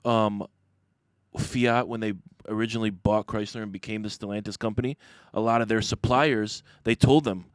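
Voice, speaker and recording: A man's voice: lowish voice, steady tone, normal speed, smooth, no echo, clean studio sound. An American man from the Detroit area talking casually as an interview guest, emphatic and plain-spoken. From Detroit area, United States. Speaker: podcast guest, restaurateur.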